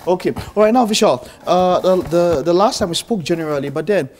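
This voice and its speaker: A man in his thirties hosting a studio interview on a TV morning show, asking questions and quick follow-ups. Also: high voice, slight background noise. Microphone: lapel.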